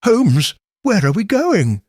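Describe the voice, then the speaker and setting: Man playing an earnest, higher-voiced detective's sidekick. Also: huge pitch swings, slow pace, a little mellow, dry recording, studio quality, full character voice. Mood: curious. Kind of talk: dramatised audiobook mystery.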